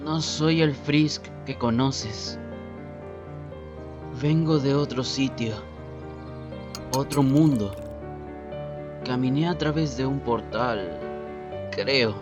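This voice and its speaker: A man playing a gentle, apologetic boy who speaks haltingly in a Spanish fan dub. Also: medium pitch, lightly acted. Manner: halting, soft-spoken pauses. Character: hesitant, apologetic, gentle boy from another world. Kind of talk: Spanish fandub voice acting. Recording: dull lo-fi audio, music behind.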